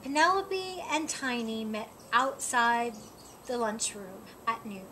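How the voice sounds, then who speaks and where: A woman reading a picture book aloud in an expressive storytelling style. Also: fairly high pitch, slow pace, slight background noise. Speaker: storybook reader. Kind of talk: expressive children's read-aloud.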